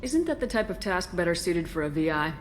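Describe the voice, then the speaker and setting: Tough female commander for a game, low voice, straight to the point. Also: sing-song, fairly quick, a little hiss or hum. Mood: businesslike. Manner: direct, controlled. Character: firm, self-assured female military commander. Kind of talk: video game voice acting.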